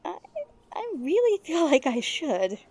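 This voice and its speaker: A woman playing a confident, quick-witted mercenary in a fantasy audio drama, polite with a sardonic streak. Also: sits high, big pitch swings, darkish tone, full character voice. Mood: puzzled.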